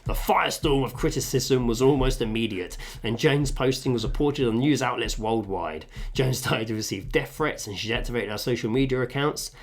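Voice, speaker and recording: A man's voice: medium pitch, normal speed, few pauses. A man co-hosting a podcast from a home kitchen, reading a list aloud with dry, sweary asides. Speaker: podcast co-host.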